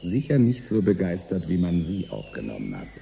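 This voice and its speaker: A man playing an embittered middle-aged inventor in a German science fiction radio drama, speaking in a deliberate, wry, needling way. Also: low voice, wildly swooping pitch, vocal fry, warm tone, slight background noise, heavily acted. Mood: dry, sardonic. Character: embittered middle-aged male inventor turned saboteur, sardonic and resigned.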